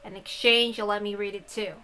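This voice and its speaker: A woman voicing a defiant, sarcastic young woman from a visual novel, curt and loud in her delivery. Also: mid-range voice, level tone, fairly quick, clean tone, lightly acted. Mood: skeptical. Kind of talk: visual novel read-aloud.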